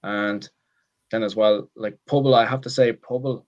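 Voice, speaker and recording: A man's voice: fairly low pitch, fairly even intonation, moderate pace, muffled recording. A man in his twenties with an Irish accent, talking casually as an interview guest, rambling and self-deprecating with lots of restarts and "I suppose". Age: 20s.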